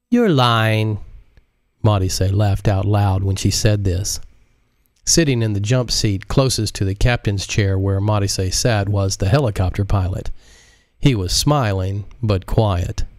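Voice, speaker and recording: A man reading his own novel aloud in a relaxed live audiobook stream, with chatty asides. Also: lowish voice, no room sound, clean studio sound.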